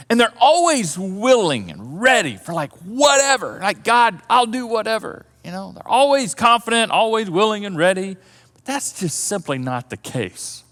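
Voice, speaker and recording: A man preaching a sermon as pastor, telling a story in an animated way and often repeating words as he thinks aloud. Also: high-pitched. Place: church auditorium. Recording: long church hall reverb.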